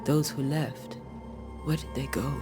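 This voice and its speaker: A man's voice: a little high, steady tone, unhurried, loud music behind the voice, lightly acted. A man playing a blunt, weary, wry ghost hunter in a video game, with terse, dry delivery. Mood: guarded. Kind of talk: dramatic video game cutscene acting.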